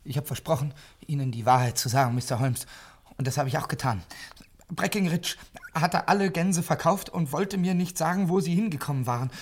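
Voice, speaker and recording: A man's voice: fairly high pitch, fairly quick, close to an everyday voice. An actor playing a nervous male thief who stammers and pleads as he confesses, in 1950s radio drama style. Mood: nervous confession.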